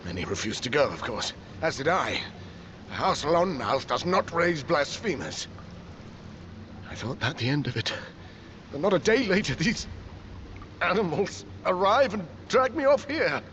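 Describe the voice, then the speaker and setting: Indignant, highly animated captive lord. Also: male voice, measured pace, long pauses, muffled recording, slight background noise. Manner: scornful, blustering. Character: indignant, proud male lord, roughed-up captive. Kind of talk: dramatic game cutscene acting.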